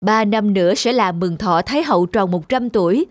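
A woman's voice: mid-range voice, expressive, normal speed, no echo, natural voice. A woman voicing a stern, regal male emperor in a cartoon folk tale, speaking formally and imperiously. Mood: commanding. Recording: music behind the voice.

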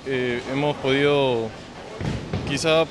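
A young man with a Peruvian accent, an athlete answering an interview in halting, tentative phrases. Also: medium pitch, steady tone. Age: young adult. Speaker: bowling athlete. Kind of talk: on-camera interview. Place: large indoor bowling alley. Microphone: handheld interview microphone. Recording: noisy bowling alley background.

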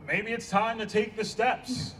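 With clear noise from an outdoor stage, an actor plays a sententious man handing out recovery wisdom. His voice is fairly high and sits a bit away from the mic in this live play reading. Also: steady tone, unhurried, fairly bright tone, recorded from a distance. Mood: preachy. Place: outdoor stage against a building wall.

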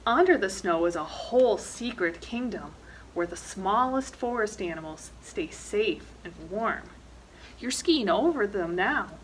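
A woman's voice: mid-range voice, expressive, a little slow, slight background noise, low-quality recording. A middle-aged woman reading a children's picture book aloud expressively, with dramatic emphasis on action words. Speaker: librarian reading storytime. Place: indoor library reading corner, seated.

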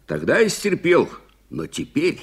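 Husky, volatile old war veteran. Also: male voice, fairly high pitch, wildly swooping pitch, a little slow, exaggerated voice. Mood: offended, angry. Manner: indignant, declamatory. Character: bitter, proud old man, a hot-tempered war veteran. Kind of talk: 1980s radio play acting.

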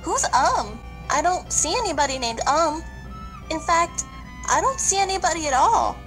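A woman's voice: extremely high pitch, sing-song, normal speed, a bit bright, soft background music, exaggerated voice, low-quality recording. A woman playing a friendly, perky, outspoken cookie schoolgirl in a children's radio play. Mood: friendly, curious.

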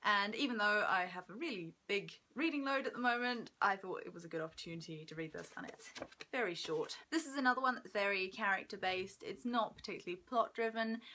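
A woman in her twenties giving an enthusiastic, expressive book review in casual chat to camera at home. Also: mid-range voice. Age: 20s. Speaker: book vlogger. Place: small room at home by a window.

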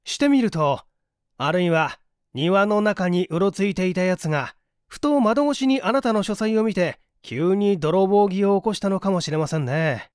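A man voicing a calm, inquisitive gentleman detective in an audiobook, asking politely and analytically. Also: sits high, normal speed, clear, resonant tone, no echo, clean studio sound, voice pushed up. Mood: calm, inquiring. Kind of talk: dramatized audiobook reading.

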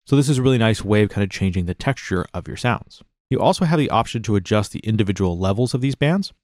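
A man narrating a casual step-by-step software tutorial in an informal, explanatory way. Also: on the low side, animated, fast, no room sound, clean studio sound.

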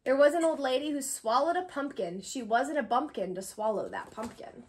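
A woman around her thirties reading a children's picture book aloud, expressive and sing-song, with drawn-out pauses for young listeners. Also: high voice. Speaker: read-aloud host, tutor. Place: small indoor room with bookshelves.

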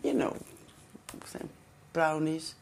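A woman's voice: low-pitched, animated, drawn-out words, gravelly, a little hiss or hum. A woman in her sixties, a grandmother, chatting lightly and self-mockingly with a presenter at home. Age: 60s. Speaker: grandmother on the show. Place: family home.

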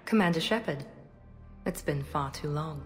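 An actress as a composed female operative in a sci-fi game. Her voice sits low, and she speaks in a cool, controlled, guarded way, with music underneath. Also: moderate pace, close to an everyday voice. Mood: cool, measured. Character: poised, guarded woman operative, worried for her sister. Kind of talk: cinematic video game dialogue.

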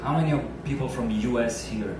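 A man's voice: mid-range voice, fast talker, over music. A man in his thirties from Naples with an Italian accent, a pianist entertainer bantering and quizzing his audience on stage with a handheld mic. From Naples, Italy. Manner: joking, chatty, quizzes the crowd. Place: cruise ship lounge stage, large room. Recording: echoey lounge from far off, audience noise.

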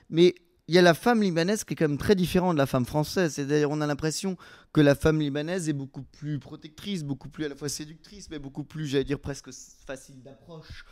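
A man's voice: fairly high pitch, a little mellow. A young man asking conversational interview questions, wordy and rephrasing himself as he goes. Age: young adult. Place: indoor room with bookshelves. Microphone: handheld microphone.

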